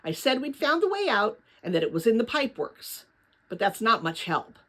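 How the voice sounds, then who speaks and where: A woman's voice: a little high, sing-song, normal speed, a bit bright. A woman voicing a serious, practical young boy in a storybook adventure, speaking in a matter-of-fact, questioning way. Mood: resigned.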